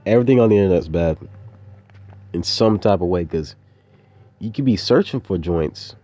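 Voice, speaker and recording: A man's voice: low-pitched, lively intonation, normal speed, mellow. A young adult man rambling casually in a laid-back, slangy, meandering way, like an informal audio diary. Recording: dull, muffled lo-fi audio.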